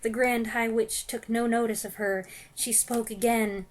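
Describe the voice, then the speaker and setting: A woman giving an expressive dramatic reading of a children's book, with joking asides. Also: on the high side, measured pace, a little hiss or hum. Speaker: reader.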